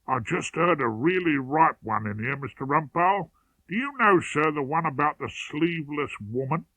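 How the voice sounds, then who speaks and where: A man's voice: a little high, animated, normal speed, bright, forceful tone, no echo, exaggerated voice. A man voicing a deferential, chummy male barristers' clerk in an audiobook story. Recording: narrowband, tinny radio-like sound.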